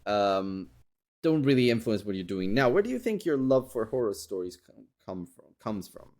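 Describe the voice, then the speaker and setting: A man hosting a casual interview podcast, speaking in a halting, rambling way and often repeating his words. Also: on the low side, big pitch swings, a little mellow.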